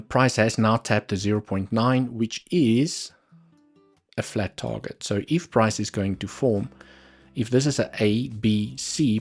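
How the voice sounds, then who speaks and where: A man with a South African accent and Afrikaans as his first language, hosting a live trading stream and thinking aloud over the charts with muttered asides. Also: fairly low pitch. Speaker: livestream trading host. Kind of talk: live market analysis commentary.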